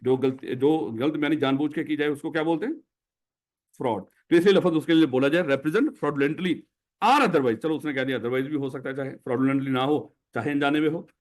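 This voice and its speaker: A man's voice: fairly high pitch, normal speed. A man with a Pakistani Urdu accent teaching a classroom lecture, who explains by putting questions to his students.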